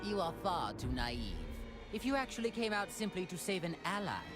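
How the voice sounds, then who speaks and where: A stern, proud fighter from an anime video game. Her fairly low voice moves with theatrical, dismissive emphasis. Loud music plays behind the lines. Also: very animated, normal speed. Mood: condescending. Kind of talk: English-dubbed anime video game cutscene acting. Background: loud background music.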